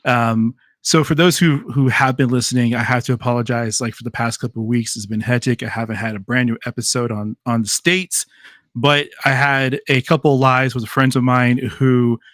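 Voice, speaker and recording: A man's voice: medium pitch, fairly even intonation, moderate pace, fairly bright tone, no echo. Guy hosting a live podcast, talks loose and laughs a lot, dry close mic. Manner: loose and chatty, laughs easily, many fillers. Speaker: podcast host. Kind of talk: casual live podcast chat.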